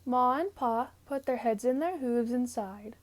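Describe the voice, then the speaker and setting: Lady reading a kids book out loud, really slow and deliberate, voice a bit on the high side. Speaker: storybook reader. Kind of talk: scripted children's story narration.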